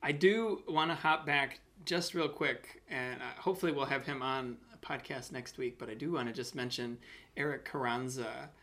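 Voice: mid-range voice, moderate pace, a bit bright. A podcast host interviewing casually, thoughtfully working out comments and questions while speaking.

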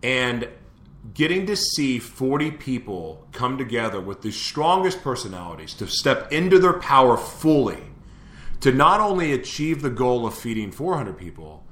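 Male host, mid pitch, earnest preachy delivery, roomy echo. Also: sing-song, moderate pace, fairly bright tone. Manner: preachy, repeats questions to listeners. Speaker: show host. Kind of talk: live motivational talk to listeners.

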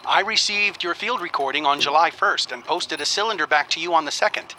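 Radio play academic: very forceful bright tone, higher pitch, earnest, precise, faintly noisy. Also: male voice, a little fast. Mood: concerned, earnest. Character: earnest, worried male scholar dictating a message. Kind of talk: radio drama recorded letter. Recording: faintly noisy recorded cylinder message.

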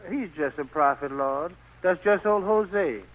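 An actor playing a respectful, concerned angel who serves as attendant to God in a 1940s radio drama. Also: male voice, sits high, measured pace, fairly bright tone. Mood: attentive. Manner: respectful, questioning. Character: Gabriel, deferential concerned angel attending the Lord. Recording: old 1940s radio recording, slightly distant.

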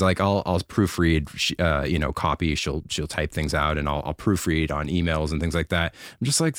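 A man in his thirties speaks as a podcast guest, candid and reflective, often poking fun at himself. His voice is very low for a man. The recording is dry and close. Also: no echo. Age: 30s. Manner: reflective, candid, self-deprecating. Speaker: podcast guest, keyboard player. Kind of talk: casual chat.

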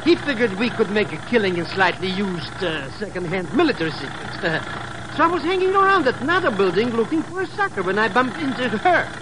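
An actor playing an excitable, chatty small-time hustler with a foreign European accent in an old-time radio drama. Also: male voice, sits high, brisk. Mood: excited, boastful. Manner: chatty, slangy, garbled wording, flustered. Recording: noisy vintage 1950s radio recording.

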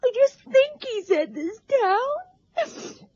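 A woman's voice: very high voice, sing-song, drawn-out words, darkish tone, no echo, exaggerated voice. An actress playing a frightened, tearful young woman who rambles and hesitates, in a 1960s radio drama. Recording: dull old radio broadcast.

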